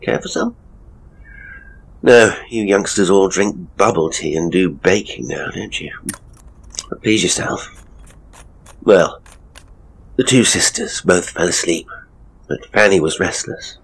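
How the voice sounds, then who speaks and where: Very deep-voiced, slow male horror storyteller. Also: slightly rough, low-quality recording. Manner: theatrical, deliberate, with pauses. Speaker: horror storyteller, ghost tour guide narrator. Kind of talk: dramatic first-person horror narration, in character.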